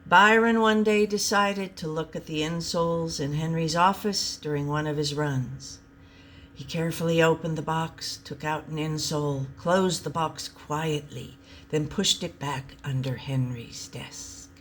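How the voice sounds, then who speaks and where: Female storyteller, slightly low voice, slow delivery, reading a comic short story. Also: fairly even intonation, a little hiss or hum.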